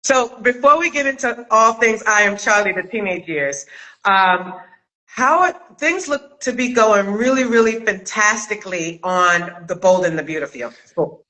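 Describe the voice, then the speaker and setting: A woman's voice: medium pitch, expressive, a little slow, pushed and bright, rough recording. A woman hosting a casual live-stream chat interview over a video call, talking in an effusive, gushing way. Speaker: host of a live chat interview, audio drama maker.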